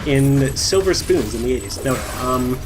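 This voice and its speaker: Male let's-play host, average pitch, dry ironic rambling, casual, clear game music underneath. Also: expressive, moderate pace, poor audio. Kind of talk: casual joking chat, game commentary. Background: loud game music and effects.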